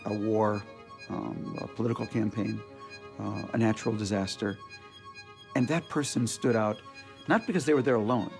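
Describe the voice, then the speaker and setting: A man explaining his view in a documentary interview, deliberate and emphatic, phrase by phrase. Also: lowish voice, vocal fry, over music.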